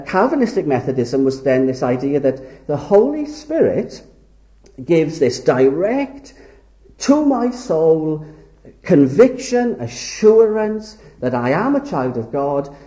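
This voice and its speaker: Male preacher from Wales, earnest and emphatic, lively pitch, roomy hall sound. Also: a little slow. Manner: earnest, emphatic, lingers on key words. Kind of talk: sermon lecture. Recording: echoey hall.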